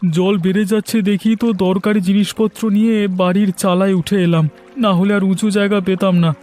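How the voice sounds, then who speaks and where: A man's voice: high voice, level tone, fairly quick, a little mellow, soft background music, natural voice. A man voicing a reassuring, confident and resourceful village husband in a cartoon story. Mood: practical.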